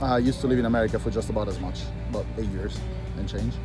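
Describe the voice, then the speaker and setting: A man's voice: lowish voice, steady tone, fast talker, rough recording. A man from Italy chatting casually as an interview guest, explaining things at length with emphatic repetition. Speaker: interview guest, foreign worker in Japan. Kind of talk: casual street-interview chat. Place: in or by a car. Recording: music behind the talk.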